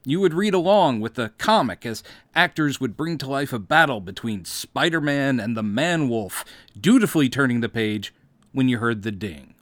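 American male podcast host, fifties, wry storyteller with very lively intonation and a dry, close studio sound. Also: medium pitch, normal speed, no echo. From Mississippi, United States. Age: 50s. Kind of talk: scripted autobiographical narration.

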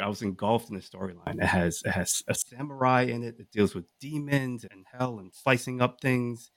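A man talks casually to his podcast group in a middle-pitched voice. He is enthusiastic and leans on rhetorical questions to sell each pick. The sound is very dry and close. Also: no echo. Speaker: podcast co-host. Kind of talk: casual chat, pitching a list of picks to the group.

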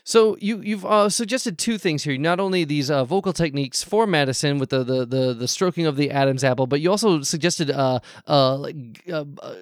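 A man's voice: a little high, brisk, hardly any pauses, no room sound, studio quality, close to an everyday voice. A man improvising a fussy, flustered podcast host who stammers through fillers and restarts. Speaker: host playing a doctor.

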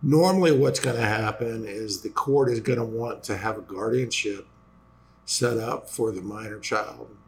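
Male host, lawyer type, raspy and gravelly, voice failing, halting casual delivery full of restarts. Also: lowish voice, slight background noise, rough recording. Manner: halting, many fillers and restarts. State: losing voice after vocal cord injections. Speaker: personal injury lawyer and host. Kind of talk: casual explanatory talk to viewers.

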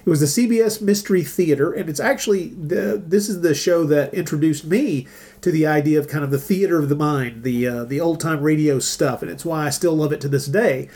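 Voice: mid-range voice, a little fast, a little mellow. A middle-aged podcast host chatting casually and enthusiastically about old radio dramas.

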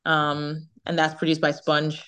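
Chatty Jamaican woman, low-pitched voice. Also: monotone, measured pace, bright, forceful tone, clean tone. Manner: chatty, laughs often, repeats herself. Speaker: singer, interview guest. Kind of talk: casual interview chat.

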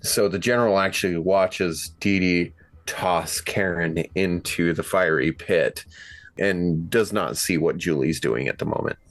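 A man's voice: deep voice, unhurried. A man playing a tabletop roleplaying game, chatting casually and swearing freely.

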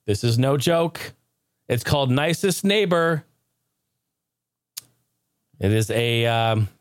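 A man hosting a podcast in casual comedic chat, talking in a jokey, excitable, informal way. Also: on the high side, dry recording, clean studio sound. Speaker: podcast host.

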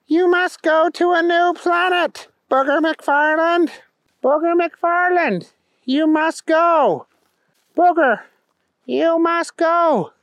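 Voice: extremely high pitch, takes it slowly, a bit bright, dry recording, pitched above the performer's own voice, heavily acted. A storyteller voicing a solemn king of small alien creatures in a children's-style comic tale. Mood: commanding. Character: solemn alien king of big-footed little people.

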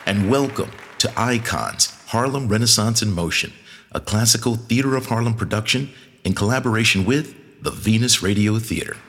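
A man with an American accent reads a scripted introduction as presenter. His voice is low and husky, and a long reverb trails around it. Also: moderate pace, fairly bright tone. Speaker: presenter and artistic director. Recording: long reverberant hall sound.

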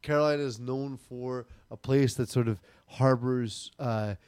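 A man's voice: medium pitch, fairly even intonation, a little slow, a little mellow, clean tone, no echo. A young man co-hosting a sports podcast, rambling with lots of fillers and jokes. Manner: rambling, filler-heavy, joking. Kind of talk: casual sports chat.

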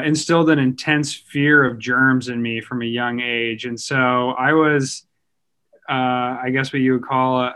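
Male, 30s, American. Researcher guest, conversational, very clean and bright tone. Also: medium pitch, clean tone. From United States. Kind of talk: casual conversational interview answer.

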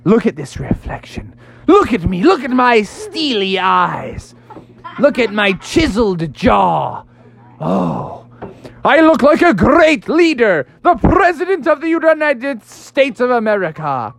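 A woman voicing a vain, excitable young male frog with a dramatic, self-important manner in a children's story. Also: deep voice, a little slow. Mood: proud.

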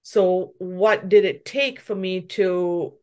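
A woman's voice: lowish voice, slow, darkish tone. A woman from Malaysia, a pastry chef and shop owner, talking reflectively about her story in a casual interview and laughing often.

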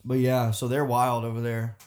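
A man telling a story in casual chat, rambling with lots of fillers and getting loud and heated. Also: mid-range voice, monotone, moderate pace.

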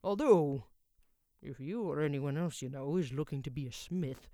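A woman voicing a gruff, brusque older male blacksmith who barks his lines in a rustic, old-fashioned dialect, recorded dry. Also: sits low, moderate pace, mellow, no room sound, close to an everyday voice. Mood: wry.

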